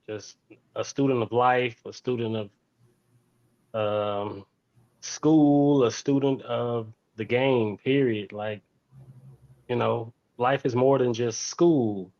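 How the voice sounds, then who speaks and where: A man tells his story in an interview. He takes his time, speaking slowly at a middling pitch and circling back on phrases to stress them. The audio is a little muffled and lo-fi. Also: darkish tone. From Fort Worth, Texas, United States. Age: 40s. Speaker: interview guest, teacher.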